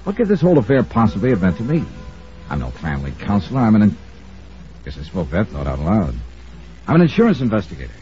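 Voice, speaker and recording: An American actor playing a shrewd, easygoing insurance investigator who also narrates an old-time radio detective drama in a wry, musing way. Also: male voice, fairly low pitch, huge pitch swings, quick pace, soft background music. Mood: musing, intent. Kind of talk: hard-boiled radio detective acting and narration. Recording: dull old radio broadcast.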